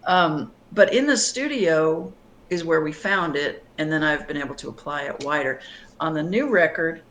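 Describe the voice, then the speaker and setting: A middle-aged woman with a bright, clear tone, talking as a singer-songwriter guest on an interview show. She speaks thoughtfully, pausing with fillers and restarting sentences as she works through her ideas. Faint noise sits under the recording. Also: low-pitched. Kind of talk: conversational interview answers.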